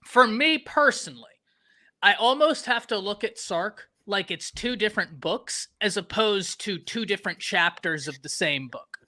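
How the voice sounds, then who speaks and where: High, bright-voiced sports stream host. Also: male voice, normal speed, no echo. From Texas. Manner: animated, talks to chat viewers by name. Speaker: sports talk show host. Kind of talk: casual live-stream sports chat.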